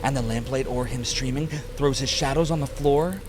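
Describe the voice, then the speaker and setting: A man's voice: mid-range voice, normal speed, background noise. A man narrating a poem as a dramatic reading, theatrical and melodramatic.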